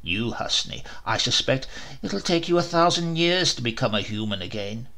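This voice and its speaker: A man's voice: mid-range voice, lively intonation, normal speed, vocal fry, pushed and bright, voice pushed down. A man doing a stern old scolding king. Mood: scornful. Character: stern noble old male king, mocking. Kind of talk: storybook reading.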